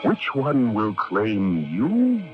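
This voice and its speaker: Guy voicing old radio ad, theatrical, slow, huge pitch swings, music up loud, muffled. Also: wildly swooping pitch. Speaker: commercial announcer. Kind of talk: scripted dramatic radio ad narration.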